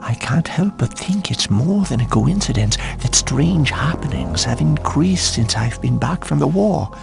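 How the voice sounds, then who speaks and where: A creaky, ailing bedridden gentleman. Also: mid-range voice, big pitch swings, a little slow, exaggerated voice. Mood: troubled. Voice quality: gravelly, creaky. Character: ailing, anxious aristocratic man, bedridden war veteran. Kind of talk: video game cutscene dialogue. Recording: music behind the voice. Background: game soundtrack music.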